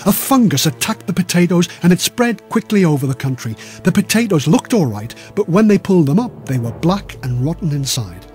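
A man narrates comic history with theatrical, wry delivery. His voice sits high for a man and rises and falls in a very lively way. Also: fairly quick, few pauses, soft background music. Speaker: audiobook reader.

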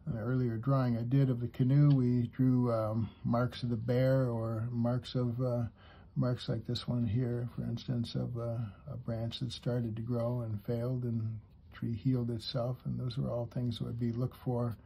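Male drawing teacher, husky voice, unhurried and halting as he explains each line, slightly muffled sound. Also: medium pitch, little pitch movement. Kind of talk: step-by-step drawing lesson for kids, talking while drawing.

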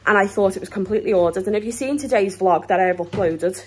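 A woman's voice: medium pitch, fast, slightly rough. A British woman in her thirties chatting through a family grocery haul at home, rambling and laughing often while filming on a handheld phone. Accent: British English. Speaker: vlogger. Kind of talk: casual grocery haul vlog. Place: home kitchen, indoors. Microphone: handheld phone camera.

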